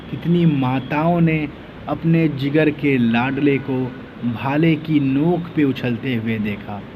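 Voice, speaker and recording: A man giving a patriotic speech with recited verse in a declamatory, rhetorical manner, using dramatic pauses and repeated lines. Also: mid-range voice, dull audio, noisy background. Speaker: orator reciting patriotic poetry.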